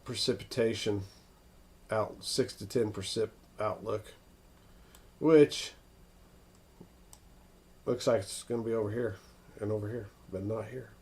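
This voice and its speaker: An American man hosting a casual, unscripted weather livestream, thinking aloud in a halting way as he answers viewers. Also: lowish voice, steady tone, a little mellow. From northern United States.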